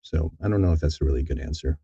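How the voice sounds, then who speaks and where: A man giving thoughtful interview answers in a halting, deliberate way, often repeating words as he thinks. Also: extremely low pitch, creaky, mellow, husky, no room sound, highs cut off. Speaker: audiobook narrator, interview guest.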